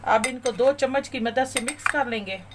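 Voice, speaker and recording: A woman hosting a cooking channel, calmly talking through a recipe step by step in a voice-over. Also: fairly high pitch, normal speed, background noise. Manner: calm, methodical instructions. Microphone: voice-over over overhead shots of a bowl.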